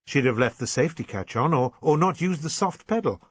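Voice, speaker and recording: A man voicing an anxious, intense young English gentleman in an audiobook novel, urgent and well-bred. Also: mid-range voice, very animated, normal speed, breathy edge, dry recording. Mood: urgent, certain.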